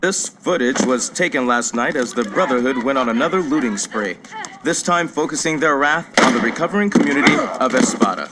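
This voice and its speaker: An actor playing an alarmist TV news reporter delivering a grave, dramatic report in a game. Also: male voice, medium pitch, very noisy, natural voice.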